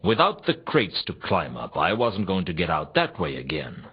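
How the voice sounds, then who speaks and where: An actor playing a world-weary, suspicious, sardonic private detective, narrating a noir video game in a dry, wry, deadpan manner. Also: male voice, sits low, normal speed, husky, exaggerated voice. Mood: musing, deadpan. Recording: slightly dull, compressed console game audio.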